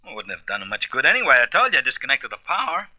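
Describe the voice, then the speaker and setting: A hot-headed space crewman in a radio serial. He blusters and grumbles in slang, with a very bright, forceful edge, stammering whenever he gets flustered. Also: medium pitch, wildly swooping pitch, racing, heavily acted, rough recording. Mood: grumbling. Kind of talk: radio drama acting.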